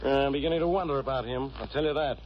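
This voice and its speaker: Gruff sarcastic 1940s radio cop played by an actor, suspicious guy, old muffled hissy recording. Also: mid-range voice, very fast, close to an everyday voice. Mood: suspicious, needling. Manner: blustering, sarcastic. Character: gruff, blustering, suspicious male police inspector. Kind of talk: 1940s radio detective drama acting.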